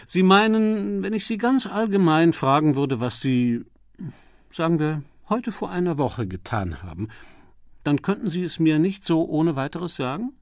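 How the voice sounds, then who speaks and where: A man's voice: a little high, animated, measured pace, no room sound, studio quality, pitched above the performer's own voice. A man voicing a patient, confident aristocratic amateur detective who questions courteously and coaxingly in an audiobook.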